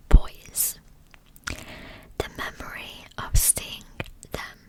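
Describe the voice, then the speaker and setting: A woman reads a scripted narration entirely in a breathy whisper. Her delivery is very slow and measured, broken into short phrases with pauses between them. The style is ASMR storytelling, with no voiced tone at all. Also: low-quality recording. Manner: slow, measured, pausing mid-sentence. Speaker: ASMR true crime narrator.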